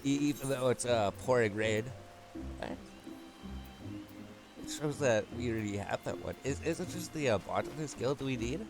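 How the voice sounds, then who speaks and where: A man's voice: medium pitch, animated, normal speed, pauses often. A man hosting a gaming video, casually thinking aloud as he gives a walkthrough. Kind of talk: casual game walkthrough commentary. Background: game music.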